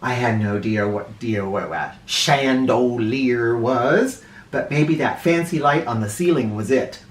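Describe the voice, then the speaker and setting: A man hosting a children's read-aloud, narrating a storybook in an animated style. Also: medium pitch, a little slow. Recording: echoey room, distant mic.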